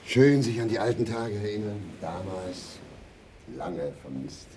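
An actor playing a middle-aged East Berlin husband and war veteran in a grotesque radio play, boastful and sentimental, given to drawn-out exclamations. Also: male voice, on the low side, animated, dark tone, slight background noise. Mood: subdued, sentimental.

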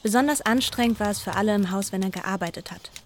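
A woman's voice: mid-range voice, fast talker. An older woman, a former housemaid, plainly recalling life in the household where she worked. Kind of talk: reminiscence. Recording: faint background noise.

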